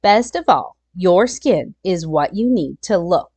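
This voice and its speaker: A woman's voice: lowish voice, animated, unhurried, a little mellow, breathy edge. A woman reading a children's nonfiction picture book aloud, expressively, with chatty asides and exclamations to her young listeners. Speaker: children's storytime reader.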